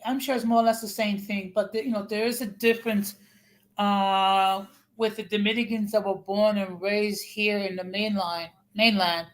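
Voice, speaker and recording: A woman in a casual online talk, explaining her views in a measured, emphatic way, point by point. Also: medium pitch. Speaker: interview partner. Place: New York.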